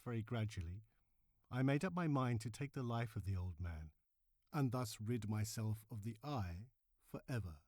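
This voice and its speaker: An agitated madman , acted out by a male reader, narrates a horror storybook tale. His delivery is theatrical and emphatic, swinging widely in pitch between hushed drops and sudden swells. The sound is dry and close. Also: dry recording. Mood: brooding, intense. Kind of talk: dramatic horror story reading.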